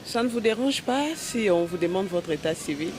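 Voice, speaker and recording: Man, high voice, quick interview questions, traffic behind. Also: animated. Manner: short questions mixing French and Lingala.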